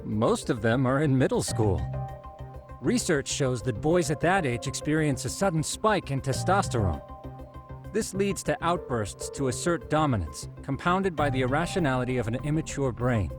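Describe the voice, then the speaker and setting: A man acting a bookish, earnest game detective delivering a briefing. His mid-pitched voice rises and falls freely as he explains with scholarly precision. Music plays clearly behind him. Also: animated, normal speed, natural voice. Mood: earnest, lecturing. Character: nerdy, earnest young male detective lecturing on science. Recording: background music under the dialogue.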